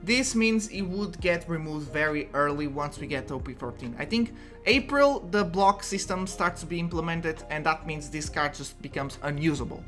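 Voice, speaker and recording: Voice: medium pitch, lively intonation, moderate pace, a bit bright, soft background music. A content creator with a Portuguese accent, explaining a card game strategy in a casual, chatty way and often restarting sentences. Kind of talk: casual explainer talk to camera.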